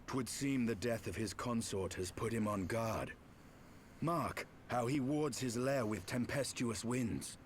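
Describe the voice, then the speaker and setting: A man's voice: mid-range voice, measured pace, slight background noise, natural voice. A man playing a grave, brooding dragon-hunting knight in a fantasy video game, with solemn, formal, archaic speech.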